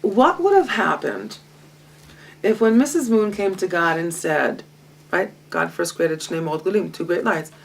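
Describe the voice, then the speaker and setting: A woman, a Hebrew speaker, teaching a Torah lesson with a lively, self-mocking manner and frequent laughter. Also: fairly low pitch, a little slow, vocal fry, a little hiss or hum. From Israel. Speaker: guest teacher. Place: home library. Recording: distant mic.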